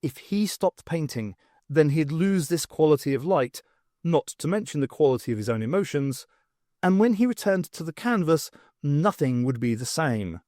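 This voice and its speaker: A man's voice: fairly high pitch, lively intonation, moderate pace, warm tone, no room sound, clean studio sound. A man reading scripted fiction aloud as an audiobook narrator.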